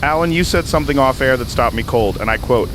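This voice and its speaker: A mid-pitched male voice of an actor plays a sardonic underground radio talk host. He is edgy and dramatic, with clear noise in the recording. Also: lively intonation, fast, natural voice. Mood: sardonic, intrigued. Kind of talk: radio talk show hosting.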